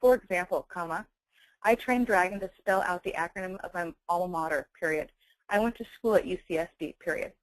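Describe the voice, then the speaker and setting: A woman giving a webinar software demonstration, enunciating clearly as a presenter. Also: on the low side, fairly quick, poor audio. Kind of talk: webinar product demonstration with dictation. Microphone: close handheld speech mic.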